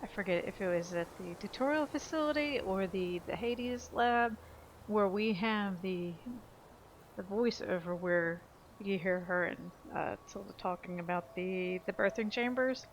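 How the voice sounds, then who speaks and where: A woman's voice: mid-range voice, unhurried, low-quality recording. A woman giving casual playthrough commentary on a game, thinking aloud in a hesitant way. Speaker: gaming video host. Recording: faint game ambience noise.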